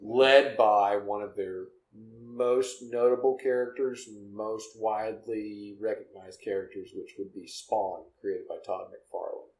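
A man's voice: lowish voice, slow pace, hardly any pauses, roomy sound, poor audio. A man in his thirties hosting a casual comics chat show, talking in an earnest, motivational way. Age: 30s. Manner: earnest, motivational, speeds up when excited. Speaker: podcast host.

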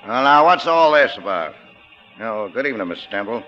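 An actor with an American accent playing a cocky, domineering middle-aged gangster boss in 1940s radio style, mock-friendly and smoothly menacing. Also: male voice, medium pitch, big pitch swings, a little fast, sharp tone. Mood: smug, menacing. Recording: dull 1940s radio recording, faint music.

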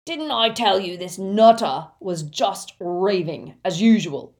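A woman voicing a cruel male villain wizard with a rough, shouting voice in an audiobook. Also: medium pitch, animated, takes it slowly. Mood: scornful.

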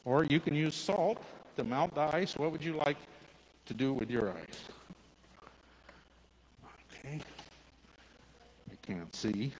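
A man teaching a Bible lesson to children, telling the story and asking them questions in a loud voice. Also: on the high side, animated, takes it slowly, pauses often. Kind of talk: Bible story told to children, then a hands-on activity. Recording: long reverb with faint ambient noise.

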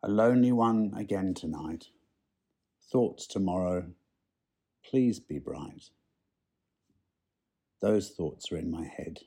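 A man with a husky voice giving a poetry reading. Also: sits low, slow, pauses often, dark tone. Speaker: poem reader.

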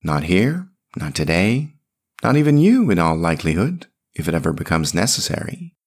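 A man's voice: deep voice, very animated, normal speed, husky, full character voice. Man voicing a smug, wordy philosophizing fellow. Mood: pleased, musing.

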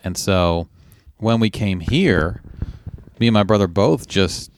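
A man's voice: lowish voice, slow, slight background noise. A man born in Iran who grew up speaking Farsi first, chatting casually and reflectively. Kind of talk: casual chat.

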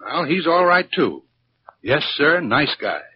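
Folksy, gossipy American storekeeper, higher lively delivery, vintage radio drama with light hiss. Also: male voice, slow pace. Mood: chatty, gossipy. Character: chatty, folksy small-town filling station owner. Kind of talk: 1940s radio drama acting. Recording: muffled, noisy 1940s radio recording.

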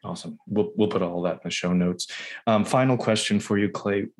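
Podcast host: man, very low voice, casual and halting, plenty of restarts. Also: fairly even intonation. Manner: halting, repeats words. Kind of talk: casual interview hosting.

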